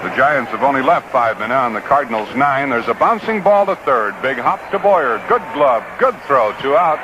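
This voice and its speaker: An American man does live play-by-play of a baseball game on vintage radio. His voice sits at a medium pitch, and he talks in short, conversational, folksy phrases. The old broadcast has clear noise behind him. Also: expressive, moderate pace. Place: outdoor ballpark broadcast booth.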